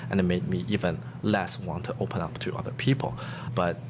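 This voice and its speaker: Low-voiced man, earnest and reflective. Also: fairly quick. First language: not English. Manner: earnest, reflective, with frequent restarts. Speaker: podcast guest, staff software engineer. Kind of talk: interview answers. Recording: steady background noise.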